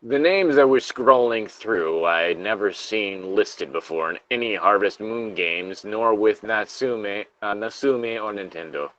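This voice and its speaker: A man's voice: on the low side, a little slow, hardly any pauses, a bit bright, lo-fi sound. A man reading horror stories as a co-host, with casual, sardonic, sweary asides. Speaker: co-host reading video game creepypastas.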